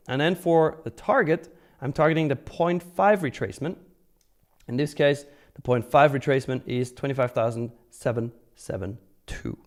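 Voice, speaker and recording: A man's voice: mid-range voice, a little mellow. Informal man hosting a trading livestream. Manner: informal, joking, swears freely. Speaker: live stream host, futures trader. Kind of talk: casual live trading commentary, reading out and answering chat.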